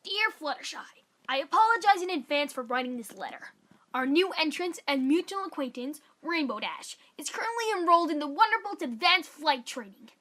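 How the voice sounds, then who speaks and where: A woman's voice: sits high, animated, fairly quick, a bit bright. A woman voicing a formal, stern female cartoon pony captain, firmly reading out an official letter. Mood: formal, disapproving. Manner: formal, firm, earnest.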